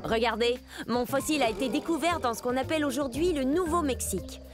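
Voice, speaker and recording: A woman's voice: sits high, fairly quick, over music, lightly acted. A woman voicing a friendly, chirpy horned dinosaur who explains things to young viewers in an educational children's cartoon. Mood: cheerful, proud. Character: friendly, chirpy little horned Zuniceratops dinosaur with itchy back.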